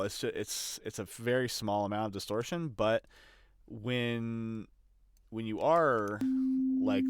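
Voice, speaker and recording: A man with a low-pitched voice talks through an audio production demonstration at an unhurried, slow pace. He is casual and unscripted, often hesitating as he works things out aloud. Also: a little mellow, smooth, no echo. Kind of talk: casual unscripted screen-recorded tutorial. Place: indoors at a computer.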